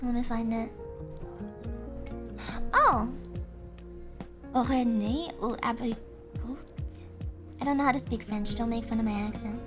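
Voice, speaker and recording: A woman streamer chatting casually and thinking aloud, excitable, with frequent gasps and laughs. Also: on the high side, unhurried, pauses often, music in the background. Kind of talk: casual livestream chat.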